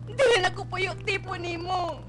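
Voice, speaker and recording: A woman's voice: very high voice, moderate pace, background noise. A woman playing a distraught, defiant young pregnant daughter in a melodramatic radio drama, pleading tearfully and emphatically. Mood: anguished, tearful. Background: dramatic music.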